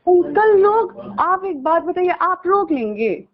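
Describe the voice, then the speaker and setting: A woman's voice: very high voice, sing-song, takes it slowly. A young woman, arguing on a recorded phone call in an insistent, pleading way and repeating herself. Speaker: caller. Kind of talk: heated private phone conversation.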